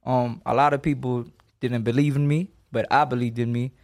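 A man in his twenties from Los Angeles talks in an easy, streetwise way as an interview guest. His voice sits at a middle pitch, and he punches his points with emphasis and slang. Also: steady tone, moderate pace. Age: 20s. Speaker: boxer guest. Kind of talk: casual interview.

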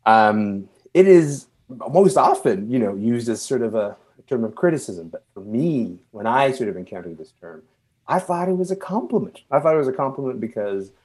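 A man from Sudan who moved to Canada young and is not a native English speaker, speaking reflectively and haltingly as an interview guest, searching for words. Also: medium pitch, very animated, a little mellow, slightly rough. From born and raised in Sudan, moved to Canada at twelve. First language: non-English first language.

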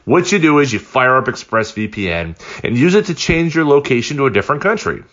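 Lively male podcast host reading an ad. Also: mid-range voice, fairly quick, dull audio. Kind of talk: conversational scripted ad read.

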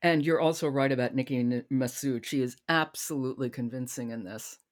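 A woman's voice: rumbling low, fairly quick. A woman hosting a podcast, chatting casually about books with her guest. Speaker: podcast host. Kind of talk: casual book chat.

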